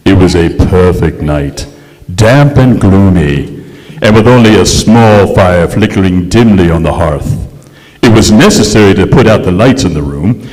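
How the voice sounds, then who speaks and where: A man's voice: sits low, animated, normal speed, darkish tone. A man acting a haughty, aggrieved aristocratic ghost who narrates his hauntings pompously in a staged old-time radio play. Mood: grandly boastful. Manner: pompous, florid, theatrical. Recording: echoey hall stage recording.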